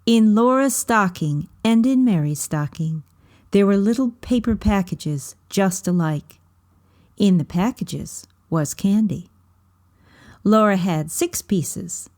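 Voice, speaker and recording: A woman reading a children's novel aloud as storybook narration, with measured, deliberate phrasing. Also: medium pitch, darkish tone.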